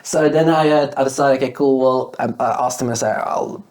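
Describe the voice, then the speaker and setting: A man's voice: mid-range voice, steady tone, a little fast, a little mellow. Chatty man telling his story. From Belgium. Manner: chatty, acts out past conversations with many fillers. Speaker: guest, beekeeping business owner. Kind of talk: casual interview storytelling.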